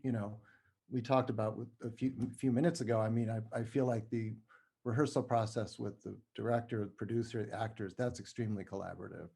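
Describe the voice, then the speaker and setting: A man speaking as an interview guest, explaining things thoughtfully and choosing his words with halting care. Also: fairly low pitch, fairly even intonation, creaky, warm tone, husky. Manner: halting, careful with word choice. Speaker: playwright and screenwriter guest.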